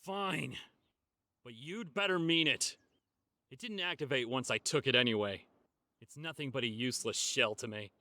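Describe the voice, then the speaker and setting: A man voicing a boastful, excitable thief in an anime game, dramatic and easily exasperated. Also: medium pitch, normal speed, close to an everyday voice. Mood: defeated, bitter. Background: background music.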